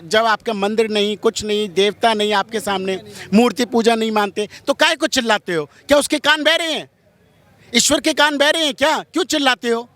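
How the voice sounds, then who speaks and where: A man's voice: extremely high pitch, fairly bright tone. A man speaking as a religious figure in an interview, strident and combative, firing off rhetorical questions in an impassioned way. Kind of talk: impassioned street interview answers.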